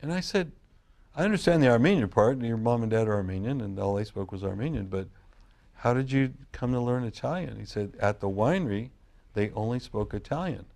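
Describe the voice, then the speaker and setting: A man's voice: medium pitch, expressive, fairly quick, vocal fry, darkish tone. A middle-aged man from California, a wine grape grower, telling long family stories in a casual interview with frequent restarts. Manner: rambling, restarts sentences often.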